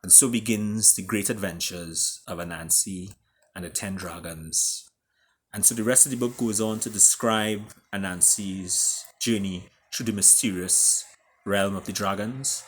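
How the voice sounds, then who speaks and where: A man with a Caribbean accent reading his own story aloud in a theatrical way, with deliberate pauses. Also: on the low side, slight background noise. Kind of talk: dramatic storytelling.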